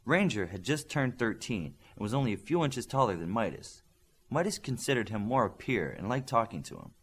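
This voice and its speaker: A man's voice: medium pitch, very animated, fairly quick, fairly bright tone, husky. A man reading a scripted story aloud as an audiobook narrator.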